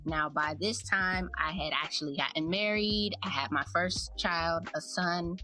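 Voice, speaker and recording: A woman in her thirties, a podcast host, telling her life story in a relaxed, chatty, reflective way. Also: on the low side, a little slow, faint music behind. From United States. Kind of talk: casual personal storytelling.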